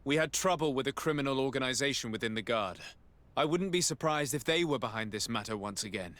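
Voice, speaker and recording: Man as a courteous, composed diplomat, mid-pitched. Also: expressive, a bit bright, dry recording, lightly acted. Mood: composed, inquiring. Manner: polite, formal, measured. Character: courteous, earnest male diplomat, composed investigator. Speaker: game protagonist actor. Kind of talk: dramatic video game dialogue.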